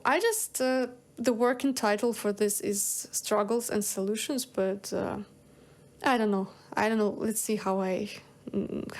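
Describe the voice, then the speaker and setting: A woman's voice: medium pitch, darkish tone. A young woman from Kazakhstan, a singer-songwriter, talking casually on her own show, with lots of fillers and restarts. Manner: rambling, hesitant, many fillers and restarts. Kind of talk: casual solo monologue.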